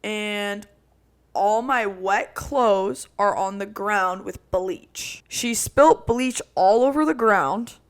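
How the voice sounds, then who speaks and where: Loud, projecting young woman. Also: medium pitch, takes it slowly, clean tone. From Italian roots. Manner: animated storytelling with frequent "like". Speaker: podcast co-host. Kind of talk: casual chat.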